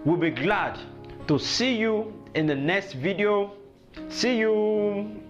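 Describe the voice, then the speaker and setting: A Nigerian man with a notably high voice teaches in an informal, encouraging way. He keeps prompting the learner with questions and repeats things for them. The audio is dull and lo-fi, with music playing behind him. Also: expressive, measured pace. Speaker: language teacher. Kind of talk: informal online lesson with questions and repetition.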